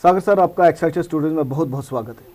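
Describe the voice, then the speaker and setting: A man's voice: on the high side, very fast, a little mellow. A man hosting a studio interview, often affirming and echoing his guest. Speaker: interviewer, programme host.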